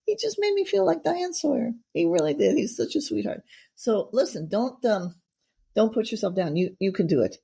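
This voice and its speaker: A woman's voice: fairly high pitch, very animated, normal speed, gravelly, dark tone, husky. A middle-aged woman hosting a casual interview, chatty and effusive, rambling from one thought to the next. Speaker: podcast host.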